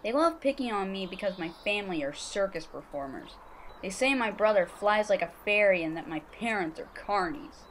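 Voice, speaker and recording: A woman's voice: medium pitch, lively intonation, normal speed, slight background noise, natural voice. A woman playing a young, bullied schoolboy, eager and naive, in an amateur audio drama. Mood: dejected, confiding.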